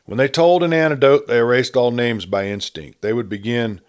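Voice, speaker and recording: A man reading a western tale as an audiobook narrator, in an expressive, measured, dramatic storytelling style. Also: mid-range voice, smooth.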